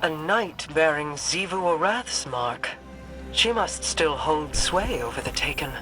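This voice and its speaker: A woman playing a regal, brooding commander in a video game, speaking in a formal, authoritative and measured way. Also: sits low, lively intonation, over music, poor audio. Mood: assessing.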